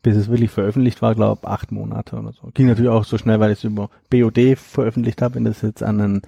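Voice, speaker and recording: A man being interviewed about his book, talking in a relaxed, hesitant way with repeated words and fillers. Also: fairly low pitch, fast, vocal fry, husky, no room sound. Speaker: guest, author of a book on stuttering. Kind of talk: conversational interview.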